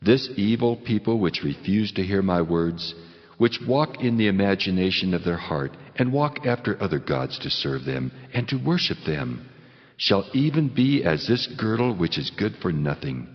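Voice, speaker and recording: An actor playing a stern, majestic God, speaking in solemn, commanding declamation in a dramatized Bible reading. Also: male voice, sits low, big pitch swings, moderate pace. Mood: stern judgement. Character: God of Israel, stern, majestic, wrathful male deity. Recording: long hall reverb, dull top end.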